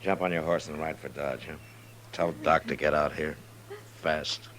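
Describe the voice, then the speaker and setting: An American actor playing a stern, weary, principled Old West marshal in an old-time radio drama. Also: male voice, rumbling low, fairly even intonation, normal speed, a bit bright. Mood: stern, commanding. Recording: noisy old radio recording with hum.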